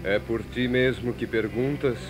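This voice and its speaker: Male actor as calm holy prisoner, very slow, solemn, dignified, biblical drama, music underneath. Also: mid-range voice, natural voice, low-quality recording. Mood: serene, solemn. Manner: solemn, measured. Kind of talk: biblical audio drama acting.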